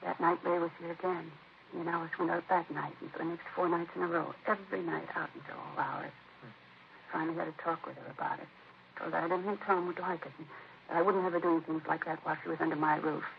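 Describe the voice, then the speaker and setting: An American actress playing a distraught, disapproving, defensive grieving sister in a 1950s radio crime drama, speaking in a hesitant, confiding way. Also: female voice, fairly low pitch, steady tone, fast talker, vocal fry. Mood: upset, disapproving. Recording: muffled, noisy vintage radio recording.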